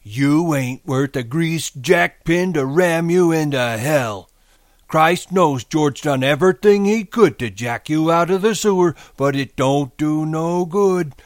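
A man voicing a scornful, foul-mouthed giant rabbit from a novel, taunting in drawn-out rural American dialect. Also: a little high, no room sound, clean studio sound, voice pushed up. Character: scornful, foul-mouthed gigantic imaginary rabbit, male-voiced.

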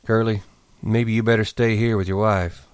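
Brief: steady, low-key ranch leader, quiet authority, read by a man. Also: normal speed, clean tone, no echo, studio quality, close to an everyday voice. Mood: grave. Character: calm, respected male ranch leader.

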